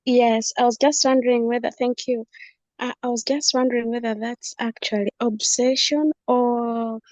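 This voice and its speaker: A woman's voice: a little high, flat delivery, normal speed, dry recording. A woman giving her opinion in a casual discussion, tentative and searching for words. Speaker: book club participant.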